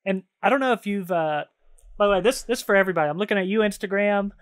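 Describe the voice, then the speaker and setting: Higher-pitched man chatting in slangy rambles. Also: fast. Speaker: podcast co-host. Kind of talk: casual chat about video games and shows.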